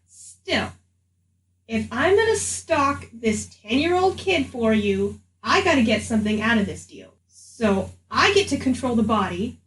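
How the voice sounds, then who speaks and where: Woman as a flippant talking magic item, drawling slowly. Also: fairly high pitch, a bit bright. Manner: sardonic, casual, bargaining. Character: flippant, self-interested sentient magic item sharing a body. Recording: noisy, echoey room, distant mic.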